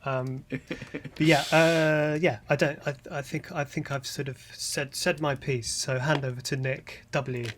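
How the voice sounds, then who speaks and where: A man's voice: on the high side, steady tone, a little slow, slight background noise. A man talking casually in an interview as a composer, hesitant and self-effacing. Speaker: composer interviewed about an audio drama.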